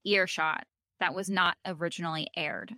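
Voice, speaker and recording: A woman co-hosting a podcast in casual chat, thoughtful and measured, with plenty of "like" fillers. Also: fairly low pitch, fairly even intonation, sharp tone, dry recording, clean studio sound. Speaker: podcast co-host. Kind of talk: casual chat about a TV episode.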